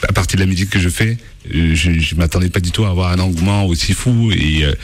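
A man, a musician guest on a radio show, speaking thoughtfully and haltingly with many pauses. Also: low-pitched. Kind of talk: casual radio interview talk.

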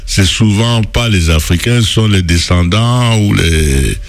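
A man's voice: fairly low pitch, lively intonation, unhurried. A man co-hosting a radio interview, chiming in with jokes and teasing the guest. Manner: jokey, chimes in, teases the guest. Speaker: radio co-host.

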